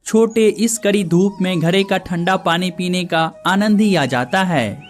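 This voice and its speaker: A man's voice: sits high, unhurried, faint music behind. A man voicing the brothers and villagers of a cartoon story in plain conversational dialogue.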